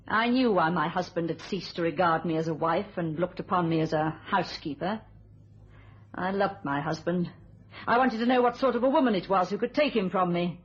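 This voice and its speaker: An actress playing a bitter, steely widow in a radio thriller, with a British accent and a controlled, deliberate delivery. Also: female voice, on the low side, natural voice. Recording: dull lo-fi radio sound.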